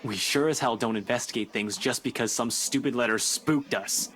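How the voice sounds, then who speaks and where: A man's voice: mid-range voice, normal speed, background noise, lightly acted. A man voicing a curt, exasperated police officer. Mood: irritated. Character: brusque young male police officer. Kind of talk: video game voice acting.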